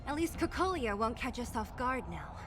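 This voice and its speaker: An actress playing a plucky, determined young heroine in video game dialogue, resolute and plain-spoken. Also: female voice, on the high side, very animated, moderate pace. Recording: game music behind.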